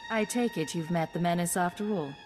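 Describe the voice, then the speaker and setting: A woman playing a cool-headed secret agent in a video game, calm, articulate and matter-of-fact. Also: fairly low pitch, a little fast, soft background music, close to an everyday voice. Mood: firm, reasoning. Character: composed, cool-headed female secret agent, firm and diplomatic.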